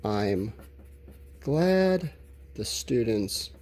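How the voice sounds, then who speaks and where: A man's voice: on the low side, big pitch swings, drawn-out words, a little mellow. A man teaching a school lesson, talking informally and explaining as he writes out answers, drawing out his words. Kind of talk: instructional lesson, thinking aloud while writing answers.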